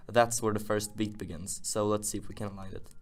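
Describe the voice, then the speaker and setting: Low, flat-toned man giving a tutorial. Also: brisk. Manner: rambling, thinking aloud while demonstrating. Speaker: music production tutor, host. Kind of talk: unscripted software tutorial narration.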